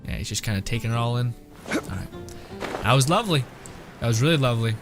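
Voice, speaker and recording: A young man giving casual, rambling gameplay commentary as a streamer, thinking out loud. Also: medium pitch, animated, fast, loud music behind the voice. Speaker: game streamer.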